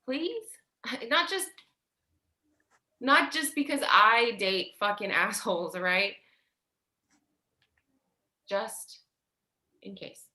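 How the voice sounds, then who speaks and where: A woman's voice: medium pitch, very slow, long pauses, bright, forceful tone. A woman playing a stressed, defensive, foul-mouthed sister in a staged play reading, emotional and confrontational. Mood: pleading. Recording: echoey room.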